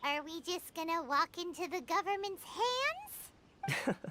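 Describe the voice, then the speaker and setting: Tiny girlish fairy, video game, cutesy squeak, extremely high, swooping, noisy background. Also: big pitch swings, takes it slowly, bright, forceful tone, exaggerated voice. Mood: worried. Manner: cutesy, speaks of herself in third person. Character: tiny squeaky childlike female fairy companion.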